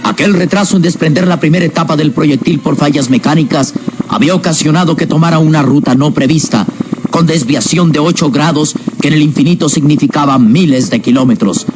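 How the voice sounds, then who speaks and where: A man's voice: on the high side, brisk. A man narrating a scripted radio serial in a grave, dramatic, suspenseful manner. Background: loud dramatic music.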